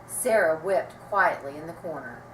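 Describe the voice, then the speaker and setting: Animated woman storyteller in a roomy space. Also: low-pitched, moderate pace. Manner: dramatic, expressive. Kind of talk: reading a children's bedtime story aloud, expressive. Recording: roomy, distant mic, faint noise.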